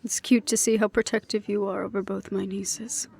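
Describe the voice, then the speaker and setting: Woman acting a soft, mellow-voiced wry ex-hunter. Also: mid-range voice, flat delivery, normal speed, gravelly, breathy edge. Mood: teasing, fond. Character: wry ex-hunter woman, affectionate, weary of family strife. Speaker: voice actor in audio roleplay. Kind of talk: intimate one-sided audio roleplay acting.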